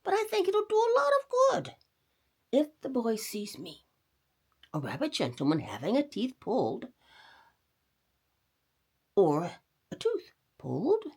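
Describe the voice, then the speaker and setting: A woman voicing an elderly gentleman rabbit in a storybook, an eager and kindly schemer who exclaims and confides. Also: on the high side, wildly swooping pitch, measured pace, pauses often, husky, voice pushed up, exaggerated voice.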